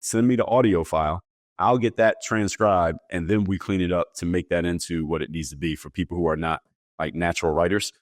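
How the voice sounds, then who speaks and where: A male performance coach, a guest on a podcast, giving steady, matter-of-fact explanations. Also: low voice, a little fast, no room sound, clean studio sound. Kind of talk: interview answers.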